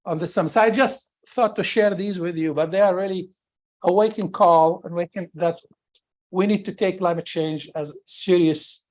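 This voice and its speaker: Halting seminar host, medium pitch. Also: darkish tone. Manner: halting, repetitive, word-searching delivery. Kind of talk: informal seminar address.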